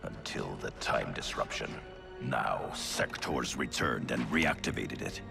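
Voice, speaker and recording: Stern ninja grandmaster, extremely low gravel-thick voice, measured, protective, game cinematic, loud music behind. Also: male voice, lively intonation, full character voice. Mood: grave, measured. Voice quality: very deep, gravelly. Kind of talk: cinematic video game acting.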